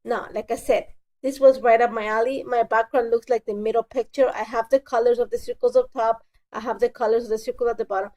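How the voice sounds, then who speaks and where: Woman, stuffy with a cold, mellow higher voice, casual craft voice-over, chatty and self-correcting. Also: steady tone, quick pace. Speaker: scrapbooking crafter.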